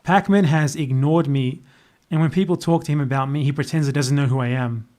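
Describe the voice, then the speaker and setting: The fairly high-pitched Australian guy on a late livestream, fielding chat questions with blunt, sardonic opinions. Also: fairly even intonation, fairly quick, a little mellow. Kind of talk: casual off-the-cuff political chat.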